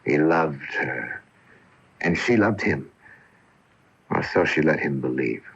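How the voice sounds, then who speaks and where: An actor playing a lovesick, intense male ghost in a radio mystery drama, with halting, theatrical, fervent speech. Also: extremely low pitch, expressive, vocal fry, a bit bright, slightly rough, full character voice. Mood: wistful. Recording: dull lo-fi 1980 radio broadcast tape.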